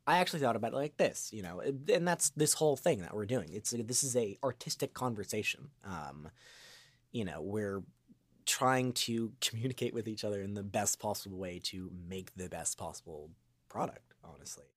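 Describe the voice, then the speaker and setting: A man chatting as an interview guest, expressive and thinking aloud in winding explanations about his craft. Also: on the low side, normal speed, dry recording. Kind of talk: animated conversational interview about acting.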